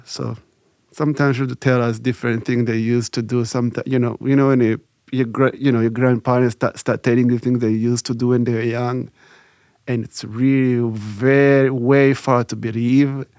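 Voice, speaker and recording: Gravelly man recalling childhood. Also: medium pitch, little pitch movement, a little mellow, dry recording, studio quality. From Rwanda. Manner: halting, reflective, many "you know" fillers. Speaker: interview guest. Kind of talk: recalled life story, casual.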